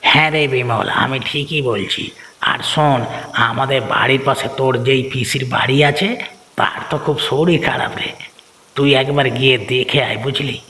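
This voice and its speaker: A man's voice: mid-range voice, moderate pace, bright, forceful tone. A man voicing a chatty, concerned village friend who gives advice in a cartoon. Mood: friendly, advising. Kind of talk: Bengali cartoon voice acting.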